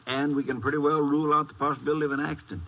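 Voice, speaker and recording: An actor playing a folksy, matter-of-fact small-town sheriff in a 1950s radio western. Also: male voice, a little high, level tone, very fast. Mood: businesslike. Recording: muffled, hissy 1950s radio recording.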